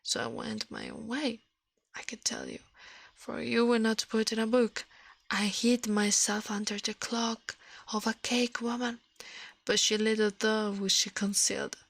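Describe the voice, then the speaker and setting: A woman reading a story aloud in ASMR style, in a soft breathy whisper, halting now and then over the words. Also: on the high side, very animated, dry recording. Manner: halting reading with stumbles and self-corrections.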